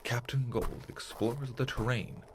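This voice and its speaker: A man's voice: mid-range voice, fairly even intonation, measured pace, noisy background. A man narrating in the scripted style of a retro radio adventure serial.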